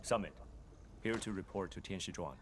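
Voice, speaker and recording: An actor playing a stoic, terse military operative in a video game, speaking formally and bluntly. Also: male voice, deep voice, a little fast, a bit bright, a little hiss or hum. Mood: formal, matter-of-fact.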